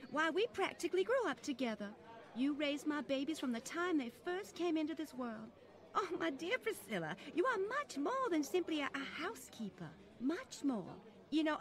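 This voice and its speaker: Radio drama role: actress as high-pitched Southern matron, syrupy polite, effusive, condescending to those who work for her. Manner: effusive, overpolite, fluttery. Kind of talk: old-time radio acting.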